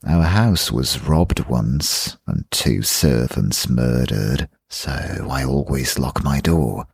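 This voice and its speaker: A man voicing a languid, mysterious young lady in a gothic audiobook, husky and gravelly, with intimate, drawn-out phrasing. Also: extremely low pitch, exaggerated voice. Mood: languid, fond.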